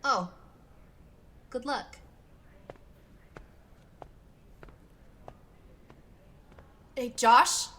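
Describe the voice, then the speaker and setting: A woman playing a friendly, lonely high school senior in an audio drama, earnest and candid. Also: very slow. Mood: friendly, hesitant.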